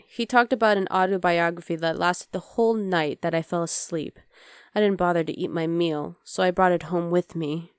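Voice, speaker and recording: Soft, close-up demon girlfriend, acted by a woman. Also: lowish voice, clean tone, no echo, natural voice. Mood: amused. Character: affectionate young demon girlfriend, daughter of underworld leader. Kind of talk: ASMR roleplay, intimate one-sided dialogue to listener.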